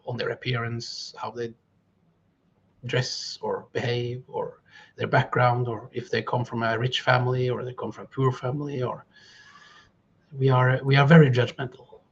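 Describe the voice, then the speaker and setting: Husky man with a Norwegian first language. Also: mid-range voice, flat delivery, moderate pace, lo-fi sound. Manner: thoughtful, hesitant with fillers. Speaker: guest speaker. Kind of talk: interview conversation.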